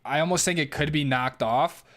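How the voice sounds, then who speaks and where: A young man hosting a live stream, reading chat and answering in a relaxed, casual way, laughing often and swearing now and then. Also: a little high, moderate pace. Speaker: livestream host reading chat.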